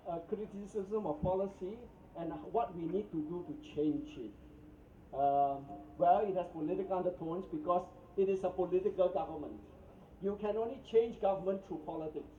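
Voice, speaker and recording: A man's voice: high-pitched, mellow, roomy sound, a little hiss or hum, low-quality recording. A Singaporean man with a Singaporean English accent, a politician answering at a press conference in a wry, insistent way.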